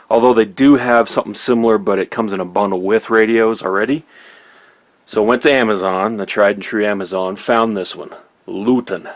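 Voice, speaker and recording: A man with a fairly low voice talks through a product hands-on. His delivery is informal and conversational, like an easygoing reviewer chatting to viewers.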